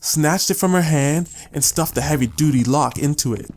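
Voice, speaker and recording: A man's voice: fairly high pitch, moderate pace. A man reading scripted story narration as the narrator of an audiobook.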